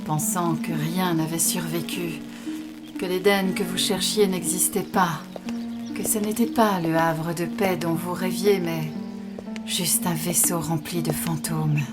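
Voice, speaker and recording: A woman playing a calm, cryptic, dignified alien priestess in a sci-fi audio drama, solemn and measured. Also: lowish voice, close to an everyday voice. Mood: solemn prophecy. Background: music.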